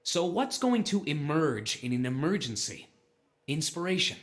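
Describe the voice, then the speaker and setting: An actor playing an earnest man who muses and explains deliberately in visual novel game dialogue. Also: a little high, very animated. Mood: thoughtful, emphatic.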